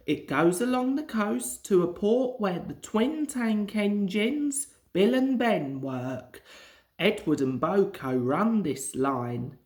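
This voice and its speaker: A woman's voice: medium pitch, a little mellow. A woman reading a children's story aloud as its narrator.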